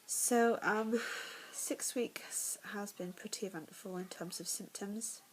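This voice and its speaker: Congested English woman chatting softly. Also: medium pitch, steady tone, slow, rough recording. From England. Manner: chatty, candid, often drops to a whisper. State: congested, nasal. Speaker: vlogger. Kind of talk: casual pregnancy vlog. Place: home, in the evening.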